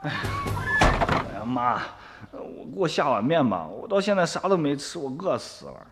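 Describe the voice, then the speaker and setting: A man's voice: a little high, very animated, moderate pace, over loud music. An actor, sighing and pleading with his mother. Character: poor young village man, guilty and pleading. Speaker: son. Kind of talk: radio drama acting.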